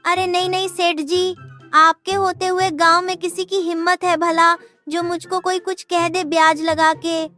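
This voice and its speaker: Scheming village clerk, cartoon, very high pitch, narrow intonation, wheedling, flattering. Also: male voice, measured pace, heavily acted. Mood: whining. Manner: whiny, wheedling, repeats a catchphrase. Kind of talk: Hindi cartoon voice acting. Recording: music behind the voice.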